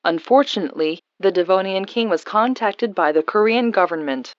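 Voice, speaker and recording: A woman plays a female governor giving an official statement in a radio drama news call. The voice is mid-pitched and formal, with a dry, dull, lo-fi sound. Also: animated, normal speed, no room sound, natural voice. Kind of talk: scripted news phone interview.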